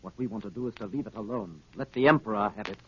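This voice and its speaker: An actor playing a brutish, hot-tempered crook, blustering and threatening, in 1930s radio style. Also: male voice, fairly low pitch, fast talker, close to an everyday voice. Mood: grim. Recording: muffled, very noisy 1930s radio broadcast.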